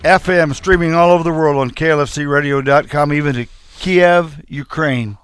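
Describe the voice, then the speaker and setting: A man's voice: fairly high pitch, normal speed, fairly bright tone, smooth, soft background music. A man hosting a radio morning show, joking and chatting with his guests. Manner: jokey, teasing, talkative. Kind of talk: casual radio chat. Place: radio studio.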